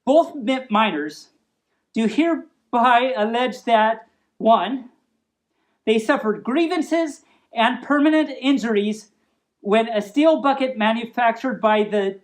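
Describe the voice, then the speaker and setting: A woman with a fairly high voice hosts a storytime. She reads formal text aloud and slips into casual, playful asides as she goes. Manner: playful, chuckling asides between formal reading. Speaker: storytime host.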